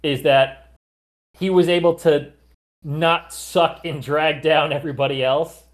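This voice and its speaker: A man's voice: on the high side, steady tone, unhurried. Chatty man co-hosting a sports podcast. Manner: chatty, lots of "like" and "you know", occasional restarts. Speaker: podcast co-host. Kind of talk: casual chat, recounting a sports career.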